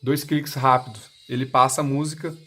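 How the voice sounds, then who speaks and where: A man's voice: mid-range voice, fairly even intonation, a little fast, pushed and bright. A man with a Brazilian Portuguese accent giving an unscripted, step-by-step product explanation in a plain, instructional manner. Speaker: intercom seller giving a product demonstration. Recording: clearly noisy recording with clicks.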